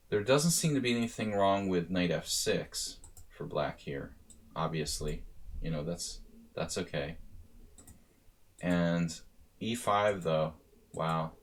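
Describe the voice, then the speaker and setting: A deep-voiced man coaching over a live chess stream. He thinks aloud in a loose, casual way, trailing off and restarting, then pushing his voice up when a point matters. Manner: thinking aloud, casual, with rising bursts of emphasis.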